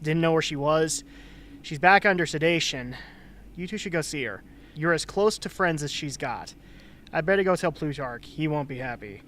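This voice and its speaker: A man's voice: on the high side, a bit bright, close to an everyday voice. A man playing a blunt, sardonic older mentor in an amateur radio drama. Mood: wry. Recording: faint background noise and ambience.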